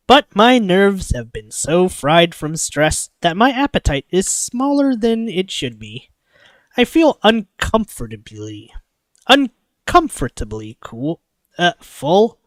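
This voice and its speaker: An expressive streamer reading a visual novel aloud and breaking off into chatty, rambling asides. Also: mid-range voice, dry recording. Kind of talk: live playthrough, narration with character voices and asides.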